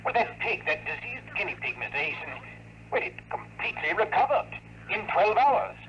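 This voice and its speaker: A man acting an earnest, amazed researcher in an old radio drama, speaking in an excited, halting, deferential way. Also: sits high. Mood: astonished, eager. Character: amazed, earnest male researcher reporting test results. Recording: noisy old radio, telephone-call scene.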